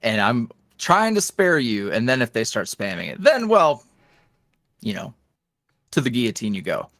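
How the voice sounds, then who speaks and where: A man's voice: mid-range voice, wildly swooping pitch, normal speed, a bit bright, no echo. A man with a mid-range voice that swings up and down a lot as he talks. He chats casually like a podcast guest, folksy and jokey, poking fun at himself. The sound is close and dry. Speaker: interview guest, online audio roleplay creator.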